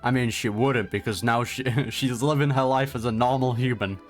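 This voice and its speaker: A man's voice: medium pitch, fairly even intonation, normal speed, soft background music. A man giving casual, off-the-cuff reactions to a video game in an informal, slangy, chatty way. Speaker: gaming reaction streamer.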